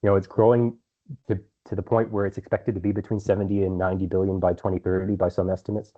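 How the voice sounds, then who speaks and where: A man speaking as a company executive, answering investor questions on an earnings call in measured phrases with some repeated words. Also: low voice, level tone. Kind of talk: earnings call answers, semi-prepared business talk. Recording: dull, band-limited conference call audio.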